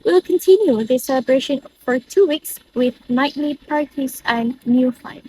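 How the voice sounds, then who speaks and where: Casting: young woman as play character addressing everyone, high voice, amateur reading over clear outdoor noise. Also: moderate pace, dark tone, natural voice, poor audio. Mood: festive, announcing. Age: young adult. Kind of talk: amateur dramatic reading of a modernised Shakespeare play.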